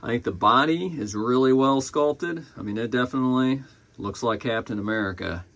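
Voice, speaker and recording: A man's voice: medium pitch, moderate pace, fairly bright tone. A man casually reviewing a collectible in an unscripted, conversational way.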